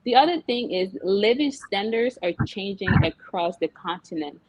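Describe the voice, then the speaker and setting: A woman's voice: medium pitch, expressive, moderate pace, a little mellow, no room sound, highs cut off. A young woman from Liberia, a guest entrepreneur in an interview, talking casually with many fillers and laughs. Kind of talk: interview chat.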